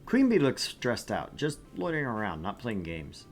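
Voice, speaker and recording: A man's voice: lowish voice, wildly swooping pitch, moderate pace, soft background music. A young man co-hosting a casual let's-play stream, laid-back and joking at his own expense. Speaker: game streamer co-host.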